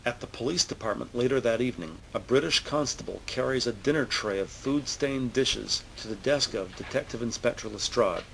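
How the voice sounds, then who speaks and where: Gravelly male narrator over noisy ambience. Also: medium pitch, fairly quick, few pauses, fairly bright tone. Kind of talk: scripted scene-setting narration.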